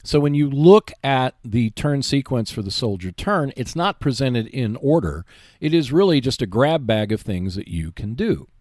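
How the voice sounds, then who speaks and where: A man's voice: mid-range voice, sing-song, normal speed, darkish tone, no echo, clean studio sound. A man hosting a gaming show, giving instructional tips in an explanatory, emphatic and reassuring way. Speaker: host of a tabletop gaming show. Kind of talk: instructional tips talk on board wargaming.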